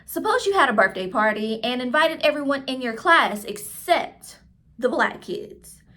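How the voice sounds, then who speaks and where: A woman's voice: high-pitched, animated, moderate pace, sharp tone. A woman reading the part of a patient mother who explains things to her child in a storybook. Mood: patient, serious.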